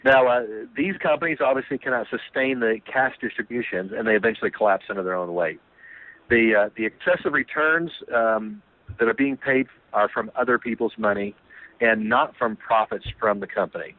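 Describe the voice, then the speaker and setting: A man's voice: mid-range voice, a little fast, no echo. Man presenting over a tinny phone line. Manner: earnest, repetitive, frequent restarts and fillers. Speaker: company leader on a conference call. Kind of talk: business conference call presentation. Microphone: telephone.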